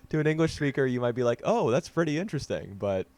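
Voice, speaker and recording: A man's voice: mid-range voice, big pitch swings, brisk, darkish tone, no echo. A man co-hosting a podcast, chatting casually and analytically with fillers and restarts. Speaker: podcast co-host. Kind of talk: casual anime discussion.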